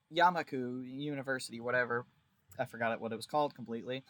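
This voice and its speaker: A man's voice: mid-range voice, dry recording. A man giving casual video commentary in a wry, informal way, leaning on emphatic exaggeration. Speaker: video creator reviewing a visual novel.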